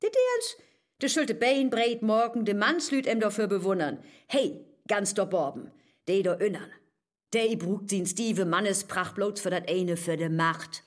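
A woman's voice: mid-range voice, lively intonation, a little slow, lightly acted. An actress with a northern German accent playing an outspoken, mocking woman friend in a verse radio play, emphatic and teasing. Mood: emphatic disdain. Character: brash, shrewd, outspoken female confidante, fearless and mocking.

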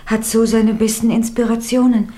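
A woman's voice: on the high side, level tone, normal speed, mellow, close to an everyday voice. An actress playing a composed, devoted young lady who explains things earnestly and with understanding, in 1960s radio play style.

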